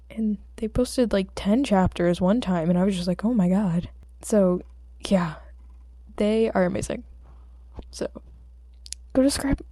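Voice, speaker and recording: A woman's voice: fairly high pitch, dark tone, dry recording. A woman with a sore throat, speaking as an amateur audiobook reader in an informal, homemade style. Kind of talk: story narration and casual chat with listeners.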